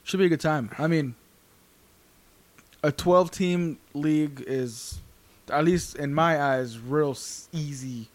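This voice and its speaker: A man's voice: on the high side, slow pace. Rambling, swearing young guy. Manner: rambling, hesitant, swears often. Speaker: podcast co-host. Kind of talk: casual chat.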